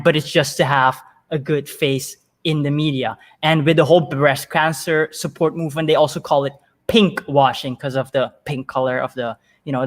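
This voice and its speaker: A man's voice: fairly high pitch, fairly bright tone. A man answering as an interview guest in a conversational, storytelling way, emphatic and often repeating words as thoughts form.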